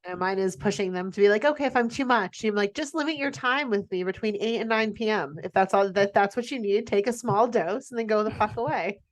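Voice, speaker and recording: A woman with a medium-pitched voice hosts a casual conversation. She is blunt and wry, whether she is putting questions or giving her own take. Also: fairly quick, few pauses. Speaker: podcast host asking questions. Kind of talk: casual chat.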